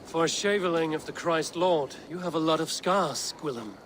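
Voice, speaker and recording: Casting: male actor for blunt Viking fighter, wry humour, slightly high voice, game dialogue over clear ambient noise. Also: moderate pace, a bit bright, close to an everyday voice. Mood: wry, calm. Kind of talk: video game voice acting.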